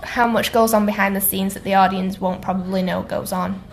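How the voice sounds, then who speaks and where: A woman's voice: medium pitch, little pitch movement, brisk, vocal fry, a little hiss or hum. A woman interviewer putting informal questions and short responses to her guests. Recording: roomy, echoing interview recording.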